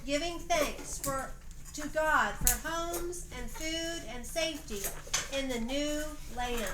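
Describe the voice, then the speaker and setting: A high-pitched woman narrates a family pageant at an unhurried, deliberate pace. She reads plainly for young listeners and slips into casual stage directions. The recording is an echoey amateur home video with clear background noise. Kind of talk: read-aloud storytelling with casual stage directions.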